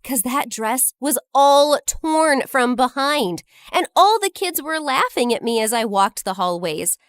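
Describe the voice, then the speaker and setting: A woman narrating a scripted first-person story in an expressive, dramatic teenage-girl style. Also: high-pitched, a little slow, no echo, studio quality.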